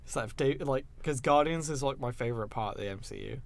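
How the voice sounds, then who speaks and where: A man's voice: mid-range voice, fast talker, gravelly. A man co-hosting a podcast with casual, blunt, opinionated film banter and some swearing. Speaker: podcast co-host.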